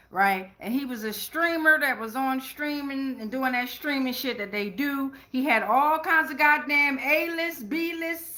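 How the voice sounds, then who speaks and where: A woman with a high, sharply bright voice reads tarot in a casual monologue. She is blunt and slangy, swears freely and rambles, often checking in with the listener. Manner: rambling, with frequent "right?" check-ins. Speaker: tarot reader and channeler.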